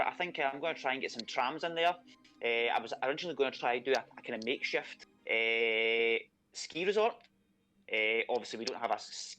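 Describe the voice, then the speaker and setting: A man's voice: medium pitch, a bit bright. A man from Scotland with a thick Scottish accent, chatting casually as a contestant being interviewed, with many fillers and a self-deprecating tone.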